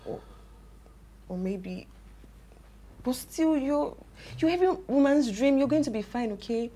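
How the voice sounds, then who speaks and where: A woman playing a caring young friend who softly comforts a heartbroken man. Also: sits high, lively intonation, a little slow. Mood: consoling. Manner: soft, soothing, partly whispered. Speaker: consoling friend.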